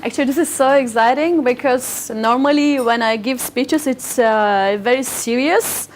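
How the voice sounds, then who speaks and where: A woman's voice: high voice, measured pace, slight background noise, low-quality recording. A woman in her forties, a native Turkish speaker from Bulgaria, telling a personal story at an event with hesitant fillers and restarts. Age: 40s. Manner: hesitant, frequent fillers and restarts, laughs often.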